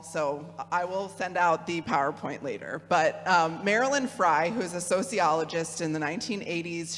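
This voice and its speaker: A friendly Midwestern woman in her thirties gives an informal lecture, chatty and quick to laugh, with plenty of ums. Her voice carries a long reverb from a large hall. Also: medium pitch. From Michigan, US Midwest. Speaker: guest speaker, theologian.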